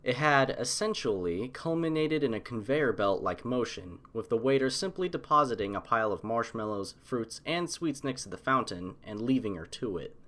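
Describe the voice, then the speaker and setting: A man reads scripted fiction as the narrator of an audio story. His voice is mid-pitched, and his intonation rises and falls in a lively, expressive way. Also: normal speed, hardly any pauses.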